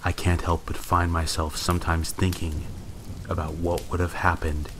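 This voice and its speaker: A man with an extremely deep voice narrates a scary story. His intonation stays level and restrained, with a measured, suspenseful delivery. Rain falls softly behind him. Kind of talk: scripted horror story narration. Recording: steady rain noise behind. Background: rain and thunderstorm ambience.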